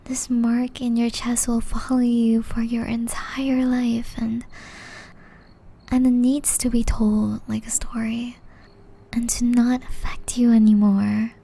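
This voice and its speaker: A woman voicing a gentle, caring young witch in love, whispering softly and tenderly in an ASMR romance roleplay. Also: on the high side, little pitch movement, full character voice, rough recording. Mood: tender, soothing. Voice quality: soft, breathy whisper. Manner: slow, tender, intimate delivery.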